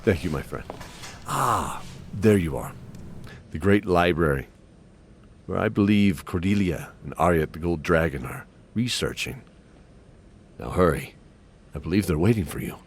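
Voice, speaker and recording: A male actor voices a courteous keeper of a fantasy castle in an audio drama. His voice is deep, genteel and a little anxious, confiding in his guests against faint background noise. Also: expressive, unhurried, long pauses. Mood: courteous, urging. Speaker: host. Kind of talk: in-character scripted announcement.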